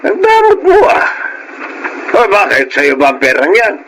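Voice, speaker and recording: Irritable middle-aged father, ex-seaman. High voice, argumentative, wheedling. Noisy radio drama. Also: huge pitch swings, measured pace, fairly bright tone, exaggerated voice. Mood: aggrieved, irritated.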